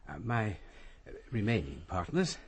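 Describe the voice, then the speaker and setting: An actor playing a formal, pompous male barrister in a radio crime drama, with an English accent and a halting delivery. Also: fairly low pitch, very animated, slightly rough. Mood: formal, uneasy.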